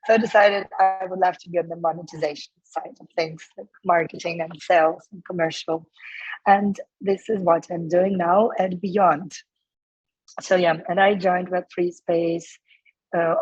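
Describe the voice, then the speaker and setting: A woman in her thirties from Ukraine, hoarse and losing her voice, talking casually as a guest with many fillers and restarts. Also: lowish voice, steady tone, moderate pace, no echo, muffled recording. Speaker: guest, startup co-founder. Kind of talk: casual interview answers.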